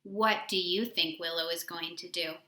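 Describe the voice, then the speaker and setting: Woman, bright and a little high, expressive storybook reader who quizzes kids; roomy echo. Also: moderate pace. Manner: expressive, asks listeners questions. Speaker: read-aloud host for children. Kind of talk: children's storybook read-aloud.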